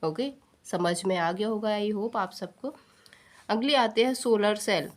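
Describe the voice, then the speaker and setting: Indian woman, medium pitch, instructional exam-prep delivery, question-and-answer explanations, repeated key points, English technical terms mixed in. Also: slow. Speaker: teacher.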